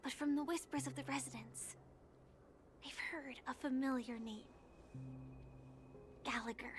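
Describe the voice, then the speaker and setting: An actress playing an enigmatic, playful, self-assured young woman in a video game, in a soft breathy voice with noise behind it. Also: sits high, fairly even intonation, a little slow. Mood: calm, intrigued.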